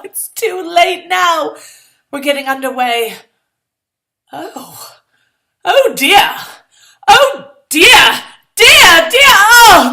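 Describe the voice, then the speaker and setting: Exasperated twenties-era young woman played by a female reader, high and bright, sarcastic, dramatic inner monologue. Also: heavily acted. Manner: sarcastic, melodramatic. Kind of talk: dramatic first-person short story reading.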